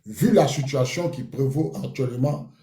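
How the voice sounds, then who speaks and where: A man's voice: medium pitch, moderate pace, warm tone, roomy sound, a little hiss or hum. A man hosting a live talk room, chatty and repetitive as he probes a caller with questions. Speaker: host. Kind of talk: live audio room moderation.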